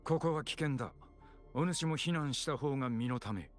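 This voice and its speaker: An actor playing a grave, terse warrior with archaic, solemn speech in a Japanese video game, music behind him. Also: male voice, mid-range voice, fast, natural voice. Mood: stern warning.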